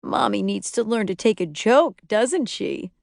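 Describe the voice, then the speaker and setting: A woman's voice: mid-range voice, animated, a little slow, creaky, a little mellow, dry recording. A woman narrator plays a young father who jokes and teases. The delivery is easygoing and playful, as character dialogue in an audiobook, with a dry, close sound. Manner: playful, teasing.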